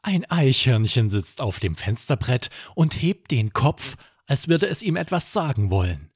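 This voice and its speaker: Male podcast storyteller for children's bedtime tales; unhurried, very animated, dry recording. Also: medium pitch, slightly rough, dry recording. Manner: expressive, dramatic storytelling. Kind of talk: scripted children's bedtime narration.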